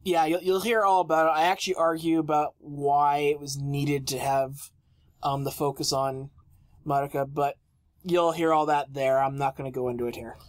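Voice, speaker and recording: A man co-hosts a podcast in a fairly high voice, recorded dry. He talks casually and haltingly, with a teasing streak. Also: steady tone, dry recording. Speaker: podcast co-host.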